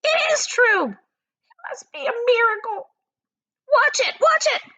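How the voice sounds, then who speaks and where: A woman voicing a shrill, excitable, bossy storybook villain aunt who exclaims in shrieks. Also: slow, exaggerated voice, poor audio. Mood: shrill excitement.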